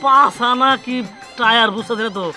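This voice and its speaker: A man voicing a silly, excitable village sidekick in a comic cartoon, with a rural Bangladeshi accent. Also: very high voice, fairly quick, recorded from a distance, soft background music, some chatter behind, full character voice.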